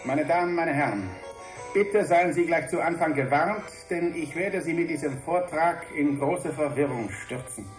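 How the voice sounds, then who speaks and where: Guy acting a grand lecturing scientist in a radio drama, kinda high voice, echoey room, bit of music behind. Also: normal speed, natural voice. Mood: grand, self-doubting. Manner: oratorical, self-correcting.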